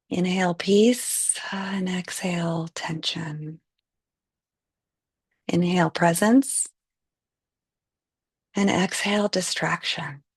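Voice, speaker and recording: A woman leading a live guided meditation, giving slow, soothing, gentle instructions. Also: lowish voice, no echo, studio quality.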